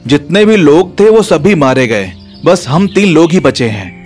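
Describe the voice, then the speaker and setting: Male storyteller as eager scientist henchman, high-pitched, earnest, animated story role. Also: measured pace, faint music behind. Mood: anxious. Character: eager male scientist serving a rich boss.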